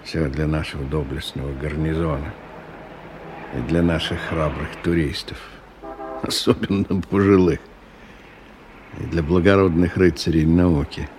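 An actor playing a middle-aged businessman in a radio drama, ironic and musing, in an unhurried way. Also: rumbling low, fairly even intonation, background noise. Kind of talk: radio drama acting, interior monologue.

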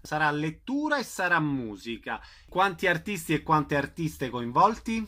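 A man's voice: on the high side, sing-song, moderate pace, bright, forceful tone. A male radio host who guides the interview with brief questions.

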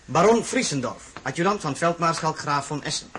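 A stately court chamberlain, played by a man in a radio drama. His fairly high voice announces with formal ceremony, over faint recording noise. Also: lively intonation, brisk, natural voice. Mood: ceremonious. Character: ceremonious Swedish royal chamberlain and envoy. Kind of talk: radio play acting.

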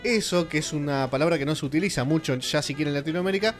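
A man's voice: on the high side, very fast, soft background music. A man with an Argentine Spanish accent, co-hosting a casual radio panel and explaining at length with many fillers. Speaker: radio show host.

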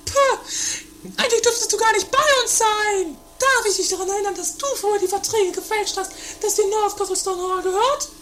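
A woman's voice: extremely high pitch, fairly even intonation, moderate pace, fairly bright tone, a little hiss or hum. A woman playing a quarrelsome, theatrical sister in a comedy radio play. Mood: indignant. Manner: theatrical, bickering. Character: quarrelsome, dramatic woman, one of two castle-dwelling sisters.

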